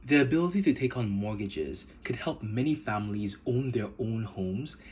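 A man from Nigeria with a Nigerian accent, hosting a podcast in measured, earnest scripted narration. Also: fairly low pitch, animated, a little mellow. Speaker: podcast host.

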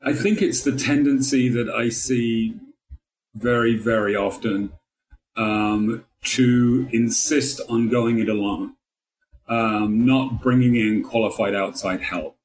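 A man giving measured, articulate interview answers as a guest financial planner, with somewhat formal wording. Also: mid-range voice, fairly even intonation, rough recording.

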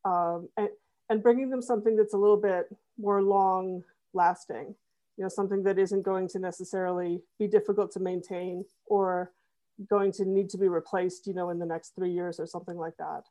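A woman's voice: lowish voice, steady tone, normal speed, mellow. A woman guest on a podcast explaining how she runs her site, rambling with fillers. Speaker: podcast guest, web designer. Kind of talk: casual interview.

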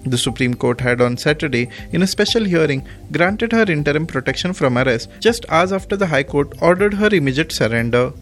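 Male news presenter, Indian accent, voice a little higher than average, scripted bulletin read over music. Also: expressive, brisk, few pauses, a bit bright.

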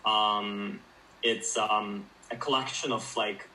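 Young man from Ukraine, bright distant voice. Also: on the low side, fairly even intonation, takes it slowly, a little hiss or hum. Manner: hesitant, many fillers and restarts, thoughtful. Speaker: guest, startup founder. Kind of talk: casual interview conversation.

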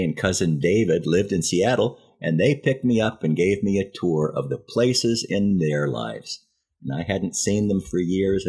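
American male in his 70s, low husky voice, wry storyteller recounting his own memories. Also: darkish tone. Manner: wry, self-deprecating, dramatizes dialogue. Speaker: podcast host. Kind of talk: first-person memoir storytelling.

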